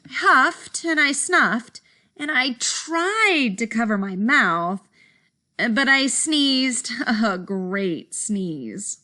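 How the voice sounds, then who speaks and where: High-voiced woman reading aloud, very animated. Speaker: school principal reading aloud. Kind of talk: expressive children's picture-book reading.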